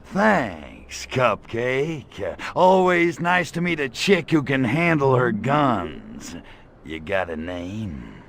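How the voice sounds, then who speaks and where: A man voicing an irritable, lecherous little alien invader in a video game, gravelly, with a sarcastic, slangy tough-guy delivery. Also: medium pitch, big pitch swings, takes it slowly, a bit bright, slight background noise, heavily acted. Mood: flirty, cocky. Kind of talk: video game cutscene acting.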